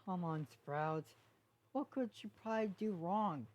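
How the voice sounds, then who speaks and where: A woman's voice: lowish voice, measured pace, darkish tone, lo-fi sound, natural voice. A woman voicing a friendly, loyal young female pony in a fan story, earnest and chatty. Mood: defensive.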